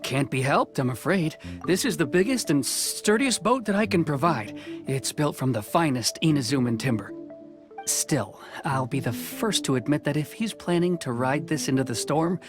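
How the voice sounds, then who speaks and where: An actor playing a modest, earnest middle-aged boatbuilder who speaks politely and reassuringly. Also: male voice, fairly high pitch, expressive, normal speed, over music, lightly acted.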